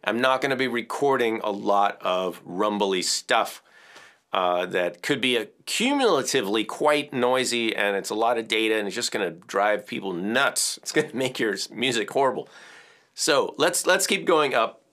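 A man in his fifties with a bass-baritone voice, casually explaining audio equipment in a home studio. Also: normal speed. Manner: relaxed, chatty explaining with gestures and small laughs. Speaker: music educator. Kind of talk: hands-on audio tutorial. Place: home music studio, indoors. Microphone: headphones on, studio mic off camera.

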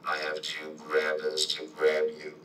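A synthetic male robot voice delivering a friendly, corny scripted monologue in a stiff, deadpan manner. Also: rumbling low, unhurried, recorded from a distance. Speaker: robot character presenter. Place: computer speaker playback in a room. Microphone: distant handheld camera mic. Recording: echoey, noisy playback filmed from off.

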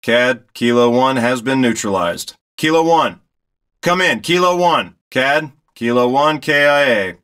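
A man acting as a steady military reconnaissance pilot in a game, giving terse, commanding orders over the radio. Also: mid-range voice, measured pace, smooth. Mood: urgent. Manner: clipped, commanding. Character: steady male military reconnaissance pilot directing a ground squad.

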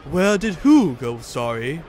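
A man voicing a grand, polite talking steam engine with a hearty, booming manner in a storybook tale. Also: very animated, slow, a little mellow, slight background noise. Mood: puzzled, polite. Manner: courteous, hearty.

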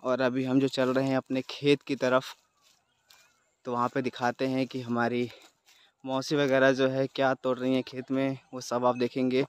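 A man's voice: medium pitch, completely flat delivery, normal speed, smooth, no echo. Chatty man vlogging outdoors on a phone. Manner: chatty, addresses viewers directly. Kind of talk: casual travel vlog narration. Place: open village fields at dusk, outdoors. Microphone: phone held at arm's length.

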